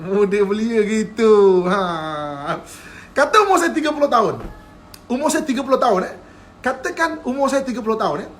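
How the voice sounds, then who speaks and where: A man's voice: sits high, big pitch swings, normal speed, a bit bright, a little hiss or hum. A man with a Malaysian Malay accent giving a live-streamed religious lecture. He is playful, keeps asking whether his listeners follow, and often chuckles. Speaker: religious teacher.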